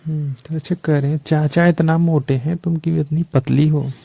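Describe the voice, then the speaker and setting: A man's voice: fairly high pitch, fairly even intonation, moderate pace, creaky, warm tone, no echo. A man playing a pushy, flirtatious neighbour in a staged phone conversation, coaxing in colloquial speech. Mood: gossiping, goading.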